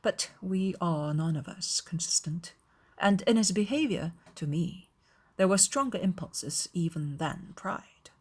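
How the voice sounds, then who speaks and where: A woman voicing an aggrieved young gentleman in a dramatized audiobook, confiding and self-justifying, with measured, earnest, formal delivery. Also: fairly low pitch, lively intonation, husky. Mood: measured, explaining.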